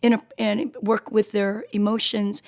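A middle-aged woman with a raspy voice, hosting a radio interview in a chatty, conversational way. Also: mid-range voice, level tone, a little slow, darkish tone. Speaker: radio show host. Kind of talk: casual interview chat.

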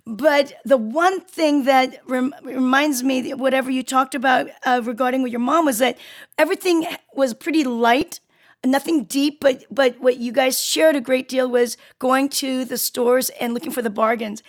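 A woman in her late fifties from South Korea, hosting a conversational interview in an earnest, wordy, halting way. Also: high-pitched, steady tone. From Seoul, South Korea. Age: late 50s. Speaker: show host interviewing a guest.

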